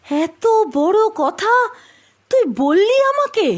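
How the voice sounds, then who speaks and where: A woman's voice: very high-pitched, sing-song, slow pace, faint music behind, heavily acted. A woman voicing a spiteful, quarrelsome middle-aged village aunt in a cartoon, scolding and sneering. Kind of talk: cartoon voice acting.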